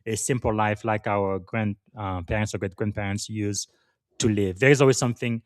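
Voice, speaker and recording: A man's voice: on the low side, fairly even intonation, moderate pace, no room sound, clean studio sound. A man from Congo in Central Africa hosting a conversational interview, feeling his way through sentences and affirming his guest. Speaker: podcast host interviewing a guest.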